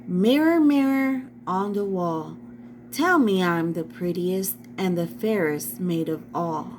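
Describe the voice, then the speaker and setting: A woman's voice: lowish voice, slow pace, noisy background. A woman voicing a vain, cruel, jealous fairy-tale queen with an imperious manner in a storybook reading. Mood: vain, demanding. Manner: imperious, commanding. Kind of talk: storybook read-aloud.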